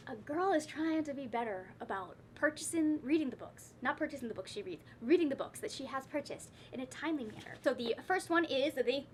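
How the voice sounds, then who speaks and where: A woman's voice: sits high, a bit bright, a little hiss or hum. A woman talking casually as a book vlogger, rambling and correcting herself with playfully wry asides. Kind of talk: casual chatty book talk to camera.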